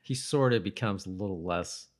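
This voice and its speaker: Lowish-voiced man, informal podcast co-host. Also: moderate pace. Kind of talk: casual chat about a TV show.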